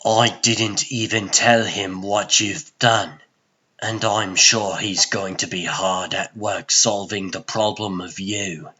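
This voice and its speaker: A man plays a weary but resolute comic-book sorcerer. His low, husky voice is measured and firm, slightly slow, with faint music behind it. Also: fairly even intonation, sharp tone, muffled recording, heavily acted. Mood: quiet defiance. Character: weary, resolute sorcerer standing up to an old friend. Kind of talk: dramatic comic-dub acting.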